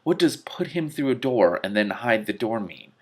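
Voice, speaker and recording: A man's voice: medium pitch, big pitch swings, moderate pace, lightly acted. A man voicing a frustrated, impatient and blunt woman in a fantasy story. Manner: exasperated, blunt.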